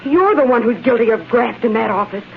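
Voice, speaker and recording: A woman's voice: on the high side, expressive, brisk, vocal fry. A woman playing an anxious, indignant wife in melodramatic old-time radio style. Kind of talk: 1930s-40s radio acting, melodramatic. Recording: muffled, very noisy vintage radio recording.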